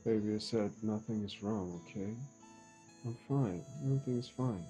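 A man's voice: fairly low pitch, moderate pace, mellow, faint music behind, lightly acted. An actor playing an evasive, defensive young man who keeps brushing off his partner's questions. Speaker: boyfriend under pressure.

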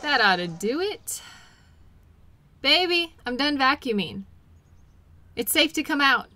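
A woman playing a caring, patient girlfriend in an intimate role-play audio, talking gently and soothingly to her partner. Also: high-pitched, big pitch swings, slow pace, dry recording, full character voice. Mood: reassuring, light. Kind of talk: intimate one-sided role-play acting.

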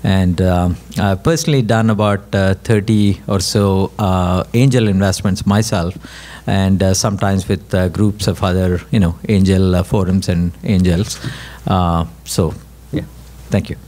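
A man's voice: low-pitched, steady tone, measured pace, dark tone. A man in his fifties, an entrepreneur speaking steadily with many uhs on a conference panel. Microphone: stand mic.

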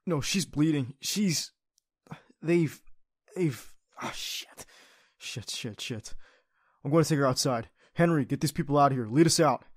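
A man's voice: fairly high pitch, measured pace. A man playing a young secret agent who leads his team and is a devoted boyfriend, in an audio roleplay drama. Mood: distraught, pleading. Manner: clipped military radio commands.

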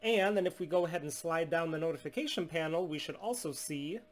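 A man in his thirties from the United States, a steady, salesy business owner explaining a tablet unlock to camera in a small room. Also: a little high, fairly quick. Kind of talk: scripted product demonstration. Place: small indoor room at home.

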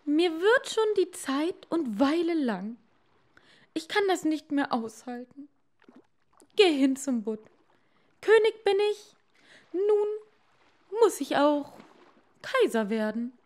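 Greedy storybook wife, played by a woman, high-pitched, bossy, loud, impatient. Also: big pitch swings, takes it slowly, long pauses, full character voice. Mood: restless, insistent. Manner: imperious, demanding.

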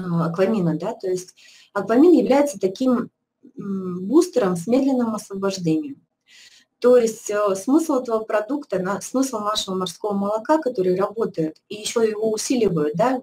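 A woman's voice: medium pitch, darkish tone, slight background noise. A middle-aged woman giving a persuasive webinar presentation from an office over a webcam, with many fillers and restarts. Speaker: product presenter. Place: indoor office, video call.

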